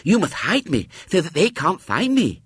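A man acts out a small, spoilt storybook schoolgirl in a high, shrill, lisping voice. She is stubborn and demanding, and her pitch swoops insistently. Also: huge pitch swings, normal speed, slightly rough, dry recording, clean studio sound, heavily acted. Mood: simply insistent.

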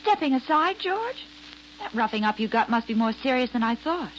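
A devoted assistant who teases fondly. Her voice sits a little high. The old broadcast sound is dull and carries a faint hiss. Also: very animated, moderate pace. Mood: teasing. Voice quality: lightly teasing, fond. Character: devoted young woman assistant, teasing. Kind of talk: 1940s radio acting.

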